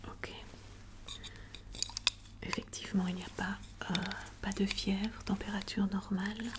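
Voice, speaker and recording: A woman speaks in a soft, breathy whisper, playing a gentle, reassuring doctor in an ASMR roleplay with hesitant pauses. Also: mid-range voice, little pitch movement, very noisy. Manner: gentle, reassuring, attentive bedside manner.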